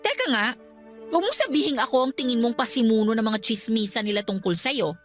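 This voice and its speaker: Actress as a haughty Filipina boss, lively swooping pitch. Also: female voice, fairly quick, faint music behind, close to an everyday voice. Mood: dismissive. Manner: dismissive, condescending. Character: haughty, threatening female office superior. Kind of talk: Filipino radio drama acting.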